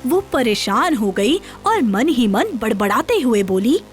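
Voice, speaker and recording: A woman's voice: a little high, sing-song, unhurried, faint music behind. A woman narrating a scripted children's story as its storyteller.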